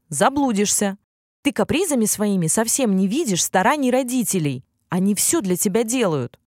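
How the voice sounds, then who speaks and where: Storybook casting: proud male unicorn, played by a woman, scolding and wise, expressive melody, dry close recording. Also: medium pitch, no room sound. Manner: admonishing, measured.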